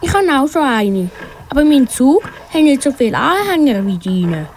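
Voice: high voice, very animated, normal speed, slight background noise. A young female performer playing an earnest little mouse boy in a children's audio play. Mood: wistful.

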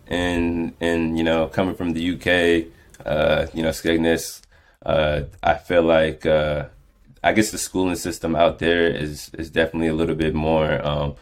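A man in his twenties with an American accent, a podcast guest talking casually with many fillers and restarts. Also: extremely low pitch. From born in England, raised in the United States. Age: 20s. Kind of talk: casual conversation.

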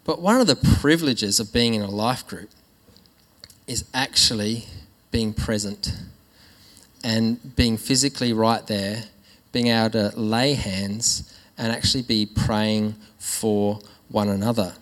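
A man preaching to his congregation in a relaxed, conversational sermon, often restarting his phrases. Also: fairly low pitch, a little slow. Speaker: pastor. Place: church hall. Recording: long hall reverb.

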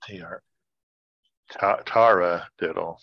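A man's voice: low-pitched, slow, vocal fry. A man co-hosting a casual talk show, joking around with playful wordplay. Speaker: show co-host. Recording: dull lo-fi, roomy echo.

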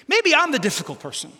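A middle-aged pastor with a loud voice preaching, animated and self-deprecating, with sudden loud bursts as he tells stories. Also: very high voice. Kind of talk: sermon. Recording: long hall reverb.

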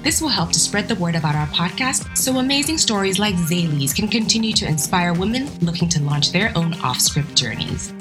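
A woman hosts a podcast with a slightly low-pitched voice. She moves between scripted presenting and conversational interviewing, with music clearly behind her. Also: lively intonation, brisk, hardly any pauses.

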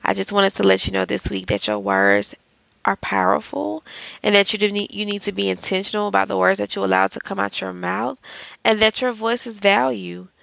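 Woman podcast host, bright, gravelly voice, chatty and encouraging, dry close mic. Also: mid-range voice, lively intonation, fairly quick, no room sound, rough recording. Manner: chatty and encouraging, addresses listeners as y'all and child. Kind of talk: casual faith-based podcast talk.

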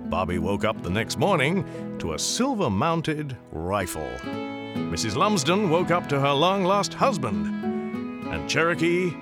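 A man narrating a Western radio story as a folksy, measured storyteller. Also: on the high side, sing-song, music in the background. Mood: warm, reflective. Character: storyteller narrator of a warm Western Christmas tale.

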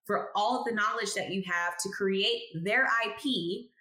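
A woman's voice: mid-range voice, measured pace. A woman in her thirties, a chemist guest telling stories in a casual interview, acting out the people she quotes. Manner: expressive storyteller who acts out quoted people. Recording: roomy echo.

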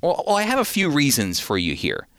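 A man's voice: on the high side, wildly swooping pitch, brisk, fairly bright tone, clear, resonant tone, dry recording, clean studio sound. A man teaching the Bible in an earnest, emphatic way, often repeating a word as he starts a point.

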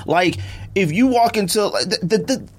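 A man's voice: high-pitched, animated, normal speed. A middle-aged man hosting a casual podcast debate, talking in an emphatic, opinionated, slangy way. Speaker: podcast host.

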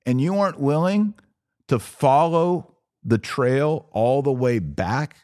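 A man's voice: mid-range voice, expressive, slow pace, darkish tone, no echo, clean studio sound. A man hosting a podcast of religious commentary, speaking in an emphatic, preachy manner with rhetorical questions. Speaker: podcast host. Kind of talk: live religious commentary rant, reading audience comments.

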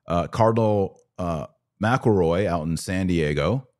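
Very deep-voiced American man, sardonic podcaster. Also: a little slow, darkish tone. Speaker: podcast host. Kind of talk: conversational religious commentary.

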